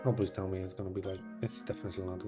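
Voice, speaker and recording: A woman's voice: very deep voice, level tone, rapid-fire. A woman live-streaming a game with casual, blunt and sassy commentary. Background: loud game music.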